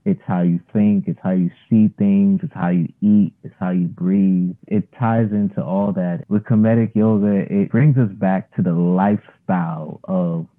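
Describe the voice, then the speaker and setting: A man with a deep, calm voice speaks quietly and reflectively, unhurried and easygoing. He sounds like a yoga and fitness coach sharing his views. The audio is thin and narrow, like a phone call. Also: no echo. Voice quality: calm, soft-spoken. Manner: relaxed, reflective, conversational. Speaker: yoga and fitness instructor, guest. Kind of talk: casual interview conversation. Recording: tinny phone-line audio.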